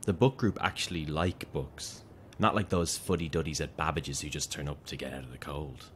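Audio drama role: smooth-talking admirer, very deep, pushy charmer, slight noise behind. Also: male voice, normal speed. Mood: coaxing. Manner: flattering, insistent. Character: smooth-talking, coaxing male fan with hidden menace. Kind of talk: naturalistic audio drama acting.

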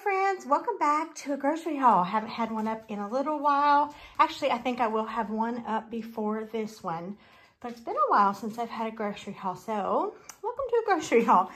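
A woman's voice: a little high, a bit bright. A woman vlogger talking informally through a haul at home, with folksy, rambling asides. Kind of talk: chatty grocery haul narration. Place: home kitchen, indoors.

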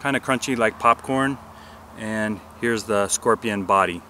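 Guy in his 40s doing a bushcraft how-to outside, lowish voice, matter-of-fact, lots of uhs. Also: unhurried, bright, forceful tone, a little hiss or hum. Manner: plain, matter-of-fact, with frequent "uh" fillers. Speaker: bushcraft video host. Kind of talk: outdoor how-to narration. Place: outdoors in a grassy park.

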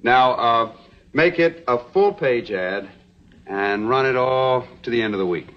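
An actor playing a genial, sly young American promoter in a TV western, with a smooth salesman's patter. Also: medium pitch, lively intonation, unhurried, close to an everyday voice. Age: young adult. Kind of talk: 1960s TV western acting. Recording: dull old television soundtrack.

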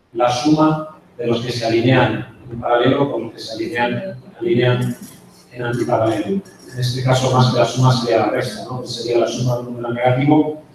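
A man in his sixties with an accent from Spain, giving a conference lecture in a measured way with hesitant pauses. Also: mid-range voice, darkish tone. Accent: Spanish from Spain. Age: 60s. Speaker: guest lecturer, chemist. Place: university auditorium, indoors. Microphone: handheld mic, picked up by distant camera. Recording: echoey hall from far off, faint noise.

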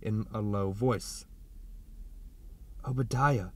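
A man's voice: medium pitch, expressive, moderate pace, dry recording. A man narrating a short story as a scripted audiobook reading.

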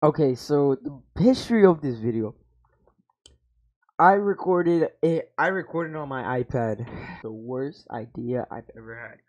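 A man's voice: mid-range voice, expressive, measured pace, a little mellow, dull audio. A young man with a headset, reacting to his old gaming videos in rambling, self-mocking casual talk that swears freely. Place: small room at home. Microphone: over-ear headset mic.

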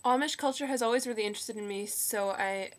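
A young woman with a slightly croaky voice from a cold, chatting upbeat about new books into a webcam in her bedroom. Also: on the high side. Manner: chatty, upbeat, quick asides. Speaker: book vlogger. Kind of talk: casual book haul chat. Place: bedroom at home. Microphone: webcam at desk.

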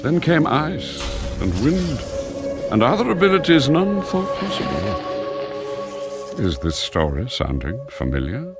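An older man voicing an ancient, wise dragon keeper of books in a video game, speaking gravely and theatrically with drawn-out pauses. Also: mid-range voice, sing-song. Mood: ominous storytelling. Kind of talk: video game cutscene acting, solemn storytelling. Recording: loud music behind the voice. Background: loud cinematic game music.

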